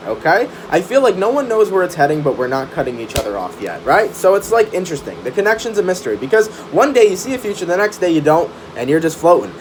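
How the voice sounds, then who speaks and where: A man giving a casual, chatty live-streamed tarot reading, full of fillers and "right?" tag questions. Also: fairly high pitch. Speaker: tarot reader. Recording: steady background noise.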